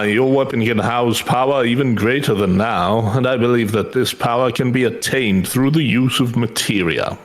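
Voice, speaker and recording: A man voicing a learned, courteous mentor in a fantasy game, with measured and pompous old-fashioned phrasing. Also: medium pitch, close to an everyday voice. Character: learned, courteous scholar mentor instructing his assistant. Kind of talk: fan read-aloud of fantasy game dialogue.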